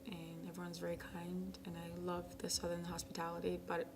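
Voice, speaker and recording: Soft-spoken young woman, low flat voice. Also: fairly quick. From Ghana. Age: young adult. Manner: soft-spoken, many ums, drops to near whispers. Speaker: college tennis captain. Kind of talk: casual interview answers. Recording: noisy background.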